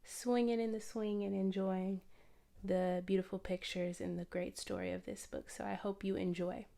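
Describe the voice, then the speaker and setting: A woman introducing and reading a children's picture book aloud in an expressive storytelling style. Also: medium pitch, moderate pace, gravelly.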